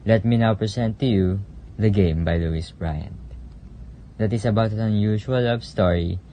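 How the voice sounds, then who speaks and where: A man's voice: fairly low pitch, moderate pace, lo-fi sound, slight background noise. A man giving a scripted, dramatic introduction as the announcer of a radio play.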